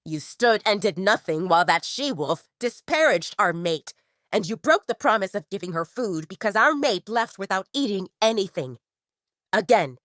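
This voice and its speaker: A woman's voice: medium pitch, lively intonation, normal speed, a bit bright, no room sound, clean studio sound, close to an everyday voice. A woman voicing a disapproving inner wolf spirit of a man in an audio drama, speaking reproachfully.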